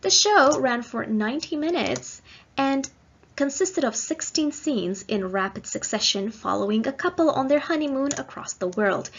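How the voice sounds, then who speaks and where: A woman delivering a scripted academic lecture in a measured, explanatory manner. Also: sits high, expressive, dull audio. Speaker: guest lecturer.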